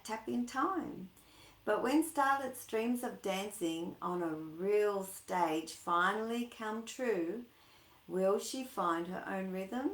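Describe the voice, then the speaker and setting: An echoey room carries a woman's medium-pitched voice at a slow, measured pace. She reads to young children as a storytime host, leaning on key words with expressive, singsong emphasis and slipping into informal chat. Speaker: storytime host reading a picture book aloud to children.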